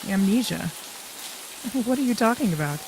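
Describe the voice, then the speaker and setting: A woman's voice: mid-range voice, lively intonation, moderate pace, background noise, natural voice. An actress playing a plain-spoken female companion in an audio drama. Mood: puzzled.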